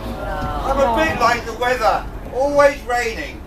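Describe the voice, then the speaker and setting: A man's voice: very high-pitched, animated, slow pace, exaggerated voice. A man playing a forgetful, jokey pantomime king, coaxing and bantering with the audience in a theatrical way. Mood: jokey, mock grumbling. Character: forgetful, jokey pantomime king fussing over his rose. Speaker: amateur pantomime actor. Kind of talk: live pantomime, addressing the audience. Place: indoor tavern stage with live audience. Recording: echoey hall from far off, audience noise.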